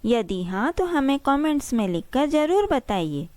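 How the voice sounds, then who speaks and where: A woman's voice: sits high, big pitch swings, unhurried, heavy background noise. A woman narrating a scripted story for children.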